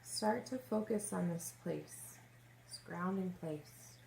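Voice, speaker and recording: Female meditation guide, lowish gravelly-edged voice, gentle pacing with long pauses, echoey room with faint noise. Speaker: yoga nidra meditation teacher. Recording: roomy echo, faint background noise.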